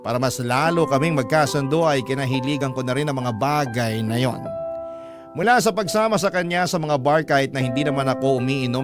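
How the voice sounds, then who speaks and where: A man narrating a love story as a radio host, in an emotive, deliberate storytelling manner. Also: mid-range voice. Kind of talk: dramatic narration of a love letter. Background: music.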